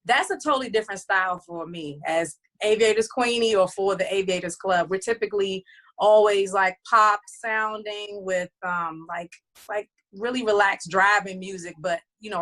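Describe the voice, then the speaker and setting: A woman's voice: mid-range voice, steady tone, normal speed, a bit bright. A woman recording artist who also runs a label, talking loosely and chattily in a casual interview, with many fillers and restarts.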